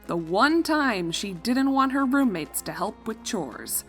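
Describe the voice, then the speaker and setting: A woman's voice: on the high side, big pitch swings, slow, faint music behind. A woman reading scripted story narration as the narrator of a fan fiction.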